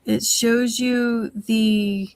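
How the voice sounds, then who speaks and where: A woman's voice: fairly high pitch, little pitch movement, very slow, a little mellow, no echo. A woman hosting a casual livestream chat while fighting a head cold, rambling and trailing off mid-sentence. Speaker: livestream host.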